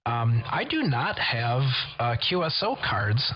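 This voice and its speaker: An American man talking casually over CB radio, polite and measured, with pauses and radio procedure words. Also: medium pitch, very animated, sharp tone. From United States. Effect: CB radio transmission. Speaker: CB radio operator who records contacts. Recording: dull CB radio audio, faint static.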